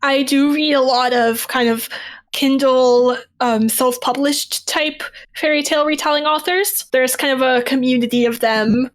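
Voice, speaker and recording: A woman speaking as a guest in a book discussion, explaining her thoughts in a casual, conversational way. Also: high voice.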